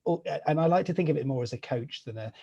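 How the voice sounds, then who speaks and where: Fast-talking, enthusiastic male podcast host. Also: on the high side, animated, dark tone, breathy edge, muffled recording. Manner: enthusiastic, effusive, rambling questions. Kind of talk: podcast interview.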